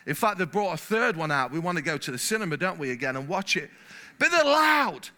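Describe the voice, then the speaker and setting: A man from England preaching a sermon in church, animated, repeating words and getting louder as he warms up. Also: fairly high pitch, a little fast. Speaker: pastor. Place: church hall.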